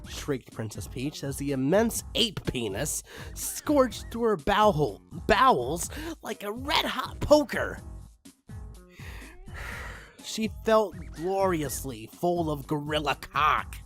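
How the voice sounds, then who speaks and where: Higher-pitched man reading with a very animated delivery. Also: slow pace. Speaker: fan fiction reader. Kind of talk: dramatic story reading with amused asides. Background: music behind the voice.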